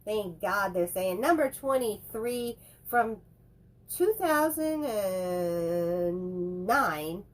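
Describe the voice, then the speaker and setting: A woman's voice: mid-range voice, animated. Chatty woman with a head cold. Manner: rambling, chatty, quick to joke. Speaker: co-host. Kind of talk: casual chat reviewing movies.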